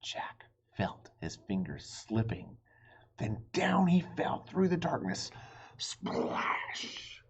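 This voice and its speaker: A husky-voiced man narrates a children's adventure story. His delivery is unhurried, very animated and full of suspense, on a slightly dull recording. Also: mid-range voice, whispered. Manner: dramatic, suspenseful. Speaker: storybook reader. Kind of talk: expressive children's read-aloud narration.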